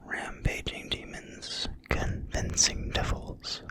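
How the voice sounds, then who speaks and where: Asmr reader whispering super slow, breathy and quiet, crisp sharp hiss on the words. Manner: quiet, slow, deliberate phrasing. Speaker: ASMR video host and reader. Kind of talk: whispered ASMR reading of a game manual.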